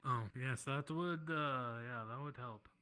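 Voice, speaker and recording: Medium-pitched man in game chat. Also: a little slow, bright, forceful tone. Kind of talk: casual in-game roleplay talk. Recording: game voice chat with music behind.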